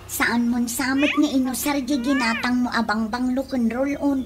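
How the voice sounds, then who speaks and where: Actress as a high-voiced, blunt Ilocano younger sister. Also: female voice, steady tone, unhurried. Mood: teasing, blunt. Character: nosy, blunt younger sister living in a boarding house. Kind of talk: comedy radio drama acting. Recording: noisy radio drama recording.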